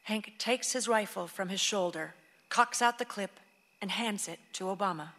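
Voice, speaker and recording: A woman's voice: mid-range voice, normal speed, fairly bright tone, big-room sound. A woman narrating a radio play, reading scripted stage directions with composure. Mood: measured.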